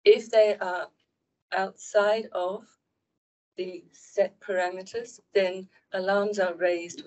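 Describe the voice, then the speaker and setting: Quiet-spoken New Zealand woman explaining water systems. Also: medium pitch, rough recording. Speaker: asset information manager. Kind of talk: technical explanation at a council meeting. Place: large council meeting room.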